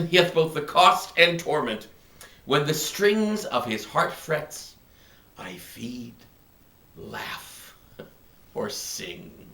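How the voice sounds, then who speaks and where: A man playing a smug, contented kept husband in a theatrical, gleeful Elizabethan stage comedy monologue, with drawn-out phrasing. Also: a little high, very animated, gravelly, bright, forceful tone, full character voice. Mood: relieved, gloating. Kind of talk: classical stage monologue acting. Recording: echoey room.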